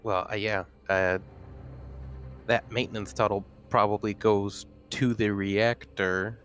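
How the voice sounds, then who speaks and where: A man's voice: on the low side, flat delivery, slow, a bit bright. A man playing a tabletop roleplaying game, thinking aloud in a casual, hesitant way. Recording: faint background noise.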